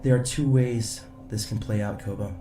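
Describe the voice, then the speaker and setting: A lowish male voice played by an actor gives a steady space-station lawman who talks in a measured, dryly pointed way. It is roomy and echoing, with music underneath, like a sci-fi radio play. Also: far from the mic, lightly acted. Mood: grimly determined. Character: steady, determined male security agent making an arrest. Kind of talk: scripted science fiction audio drama.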